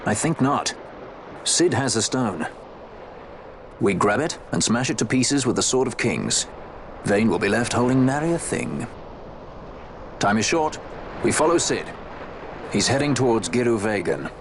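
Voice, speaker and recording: An actor playing a bold, decisive adventurer in a fantasy game, terse and blunt. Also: male voice, medium pitch, animated, a little slow, long pauses, background noise. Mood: determined. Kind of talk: fantasy game cutscene acting.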